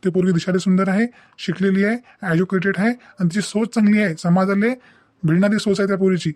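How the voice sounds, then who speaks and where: A man's voice: high-pitched, fairly even intonation, quick pace, a little mellow, dry recording, clean studio sound, close to an everyday voice. A man playing an indignant, principled young son in a cartoon, lecturing emphatically in a Vidarbha Maharashtrian dialect. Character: indignant, principled young adult son defying his mother. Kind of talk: comic family drama cartoon dubbing.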